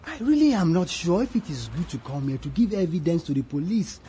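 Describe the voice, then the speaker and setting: An actor with a Nigerian accent playing a frightened, reluctant informant in a radio drama, nervous and stammering. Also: male voice, a little high, big pitch swings, a little fast, mellow, a little hiss or hum. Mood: fearful, pleading.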